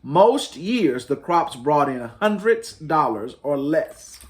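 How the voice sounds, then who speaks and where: A man's voice: fairly high pitch, very animated, takes it slowly. A man hosting a story time, reading a picture book aloud to families in a dramatic, emphatic way. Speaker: children's storybook reader, host.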